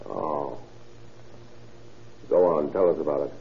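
A stern male police detective in a vintage radio crime drama. His voice is low and his delivery clipped, dry and sardonic. The sound is thin and phone-like, like an old broadcast. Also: takes it slowly, creaky, a little hiss or hum, full character voice. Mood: probing. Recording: tinny vintage radio recording.

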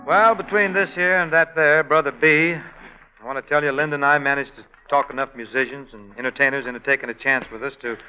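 A man's voice: medium pitch, fairly even intonation, brisk, natural voice. An actor playing an easygoing innkeeper who tells his story in a folksy, jokey manner, in a dull 1940s radio recording with music behind. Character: easygoing male innkeeper and singer.

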